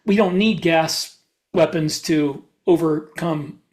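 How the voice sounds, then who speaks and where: A man speaks as an author and podcast host in a casual discussion. He lays out his explanations haltingly, often backing up to restart a thought. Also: a little high, a little mellow.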